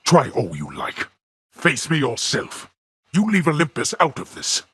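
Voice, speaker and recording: An actor playing a grim, imperious god of the dead and stern father in a video game, with a husky, gravelly voice and formal, archaic, menacing delivery. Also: fairly high pitch, wildly swooping pitch, a little slow, fairly bright tone, dry recording, clean studio sound, full character voice. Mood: furious, commanding. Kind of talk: theatrical video game villain acting.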